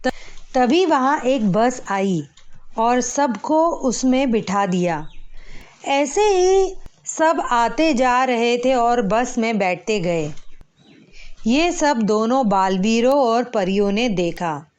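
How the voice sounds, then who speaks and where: A woman storyteller reading a scripted children's moral tale, measured and phrase by phrase. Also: on the high side, animated, a little mellow.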